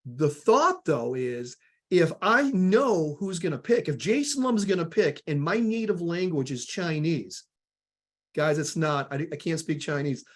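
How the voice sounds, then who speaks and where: A man with a Southern US accent presenting a product webinar, energetic and repeating words as he talks, often calling the audience guys. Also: a little high, a little slow.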